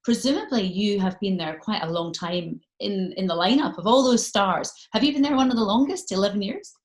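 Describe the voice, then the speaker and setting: A woman's voice: mid-range voice, lively intonation, brisk. A woman presenting a casual interview, chatty and encouraging. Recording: echoey room on video call.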